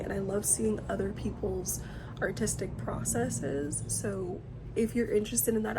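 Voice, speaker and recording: A young woman talking casually to her camera, self-conscious and hesitant, with many fillers and pauses. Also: medium pitch, a little mellow, slight background noise, low-quality recording. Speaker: vlogger. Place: indoor room. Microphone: camera mic.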